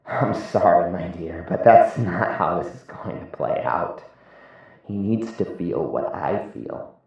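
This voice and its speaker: A man plays a vengeful masked killer from a horror audio story. His low voice swings widely in pitch, mocking and deliberate, with a gravelly edge and cold menace. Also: expressive, darkish tone, lo-fi sound, heavily acted. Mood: cold, condescending. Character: vengeful, sadistic masked male killer, coldly taunting.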